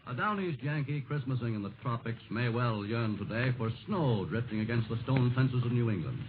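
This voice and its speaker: A man's voice: medium pitch, expressive, brisk. A man narrating a scripted 1940s radio broadcast in a solemn, rhetorical manner. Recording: scratchy, muffled 1940s radio broadcast, loud noise.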